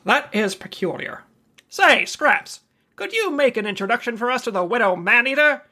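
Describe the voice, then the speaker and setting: An actor playing a hard-boiled, wisecracking insurance investigator in an old-time radio noir, brisk and wry. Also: male voice, very high-pitched, lively intonation, bright, forceful tone, full character voice. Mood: wry, businesslike.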